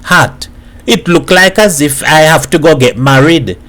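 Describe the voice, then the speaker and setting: A man's voice: on the high side, measured pace, a bit bright, slight background noise, voice pushed up. A man voicing a brash, proud Trinidadian man who apes Americans, speaking emphatically and boastfully. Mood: glum.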